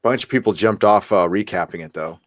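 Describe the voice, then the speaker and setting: A man co-hosting a casual discussion, rambling and halting as he goes. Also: on the low side, dry recording. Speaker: co-host. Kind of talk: casual chat, reading viewer comments.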